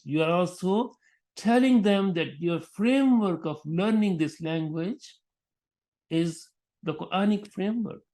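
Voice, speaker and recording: A man speaking off the cuff about religious education, pausing often, restarting his sentences and adding Arabic honorific phrases. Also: on the high side, a little mellow. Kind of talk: unscripted talk on teaching.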